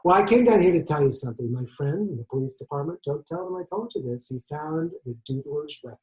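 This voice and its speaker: A man's voice: a little high, expressive, fast talker, a little mellow, natural voice. A man playing a friendly police identification man, speaking as a confidant in a stage monologue. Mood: confiding. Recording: echoey room.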